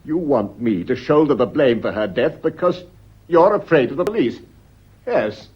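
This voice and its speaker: An actor playing a weak, defensive British schoolmaster in a melodramatic radio play, wounded and accusing, with a husky voice. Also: male voice, mid-range voice, animated, normal speed, lo-fi sound. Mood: bitter, accusing. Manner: agitated, wounded, stammering under pressure.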